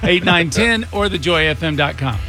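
A folksy man hosting a radio fundraiser, his voice sitting a bit high. He talks in a chatty way, and faint music plays under him. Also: lively intonation, normal speed, sharp tone. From East Texas. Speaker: radio host.

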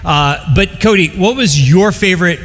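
A lively, joking man from Colombia preaches to his congregation in a church sanctuary. His voice sits a little high and keeps an animated, playful energy. A long reverb from the large hall trails behind every phrase. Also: normal speed. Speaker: pastor. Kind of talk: sermon.

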